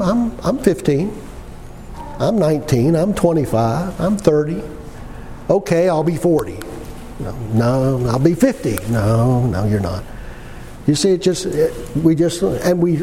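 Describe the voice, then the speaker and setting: Man, 60s, for sermon delivery: folksy and emphatic, very lively intonation, noisy recording. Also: a little high, measured pace. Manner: folksy, conversational; emphatic repetition with rhetorical questions. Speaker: preacher. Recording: long hall reverb with background noise.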